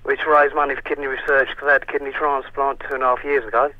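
A man's voice: mid-range voice, flat delivery, fast, dry recording. A young man chatting casually and easily over the telephone. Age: young adult. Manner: easygoing, laughs readily. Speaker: prank call victim. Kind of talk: casual phone chat. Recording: dull, band-limited telephone line.

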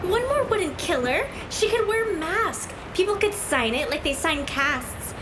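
Woman putting on a very high snarky teen girl. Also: sing-song, a little slow, full character voice. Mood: whiny. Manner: sarcastic, complaining. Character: snarky, whiny teenage big sister, dramatic. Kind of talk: audio drama acting. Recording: noisy ambience behind.

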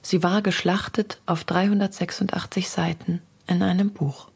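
Very husky, creaky woman reading. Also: on the low side, fairly even intonation, normal speed, darkish tone. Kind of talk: dramatic literary reading.

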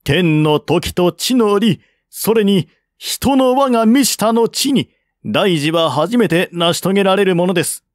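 A man's voice: high voice, expressive, unhurried, no room sound, clean studio sound, pitched above the performer's own voice. A man reading the part of a courteous, shrewd peace envoy in a historical novel, speaking politely and formally. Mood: earnest, persuading. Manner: polite, formal, reasoning step by step.

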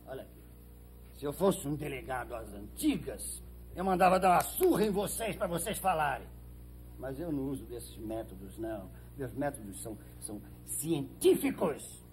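A man's voice: fairly high pitch, sing-song, moderate pace, soft background music. A man acting a blustering, pompous middle-aged small-town police chief in a Brazilian telenovela, theatrical and emphatic. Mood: pompous, threatening. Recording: old TV soundtrack with faint hum.

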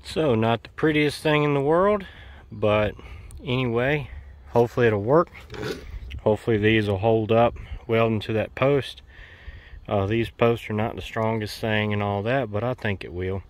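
A man's voice: lowish voice, moderate pace, slight background noise. A man with a Southern US accent talking casually and off the cuff through a homestead DIY project, folksy and plain-spoken, rambling a little. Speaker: homestead DIY video maker. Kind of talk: casual unscripted how-to narration. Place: outdoors on a rural homestead.

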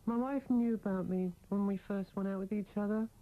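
A woman guest in a radio interview, talking candidly and reflectively in a level voice that moves little in pitch. The recording is thin and phone-like, like an old aircheck. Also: steady tone, brisk. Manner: reflective, explanatory.

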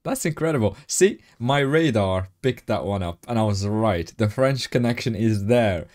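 A man from Morocco hosting a casual podcast interview. His voice is mellow and dark, and he sounds eager and quick to laugh, cheering on his guest. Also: fairly low pitch, expressive. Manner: enthusiastic, laughs often. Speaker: podcast host.

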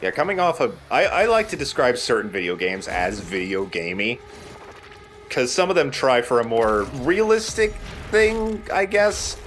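A man's voice: mid-range voice, very animated, moderate pace, fairly bright tone, a little hiss or hum. A man giving casual commentary as he plays and hosts a video game let's play. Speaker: gaming let's play host, player.

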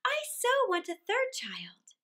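A woman voicing a gentle, placid and fond lady mother in an audiobook, tender and wistful. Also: very high-pitched, huge pitch swings, takes it slowly, pitched above the performer's own voice, full character voice. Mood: eager, tender. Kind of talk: audiobook character voice.

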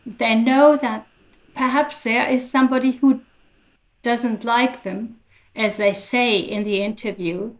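An older woman from Germany giving careful, halting explanations as an academic guest, speaking into a headset on a video call. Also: a little high, steady tone. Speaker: guest professor. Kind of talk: explanatory interview answers.

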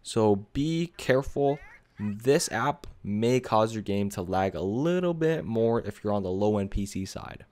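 A man presenting a scripted software review as a voice-over, speaking casually and directly to viewers. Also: lowish voice, animated, unhurried. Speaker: video creator and presenter.

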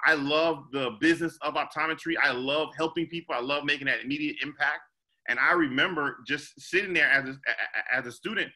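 A man speaks on a video-call panel as an optometrist. His voice is bright and forceful and sits slightly high. He presses his points emphatically, repeating words as he goes. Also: brisk, poor audio. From Trinidad. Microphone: video call webcam.

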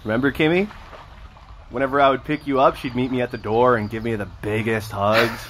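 An actor playing a smug, teasing teenage joker in a naturalistic audio drama. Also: male voice, mid-range voice, fairly even intonation, brisk, noisy background, natural voice. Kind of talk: naturalistic audio fiction acting.

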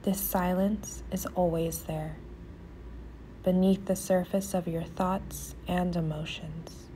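A woman leading a guided meditation in a soft, soothing, often near-whispered voice with pauses. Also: on the low side, steady tone, a little hiss or hum, poor audio.

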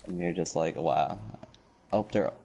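A man's voice: low voice, measured pace, vocal fry, dark tone, husky. A man on a headset mic streaming a team shooter, talking casually and explaining tactics to his squad. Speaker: gamer streaming with teammates. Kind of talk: casual game chat and callouts.

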